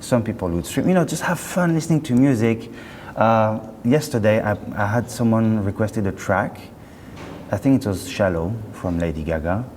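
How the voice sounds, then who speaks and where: Male company spokesman, deep-ish voice, conversational and self-correcting, recorded in a noisy reverberant show space. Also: lively intonation, a little mellow. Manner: chatty, halting and self-correcting. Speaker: company representative being interviewed. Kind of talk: casual interview chat. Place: indoor hi-fi show. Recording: roomy hall, clear background noise.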